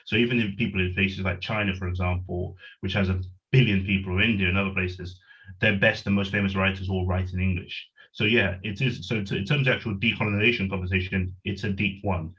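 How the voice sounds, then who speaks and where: Deep-voiced man on a dull call line. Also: steady tone, brisk, fairly bright tone. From Nigeria. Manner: rambling, self-correcting, pragmatic. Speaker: panel guest, writer. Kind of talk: discussion, webinar.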